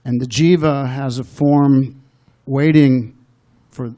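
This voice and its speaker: Thoughtful man with a mellow voice. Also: medium pitch, fairly even intonation, takes it slowly. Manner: thoughtful, careful phrasing. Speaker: audience member asking a question.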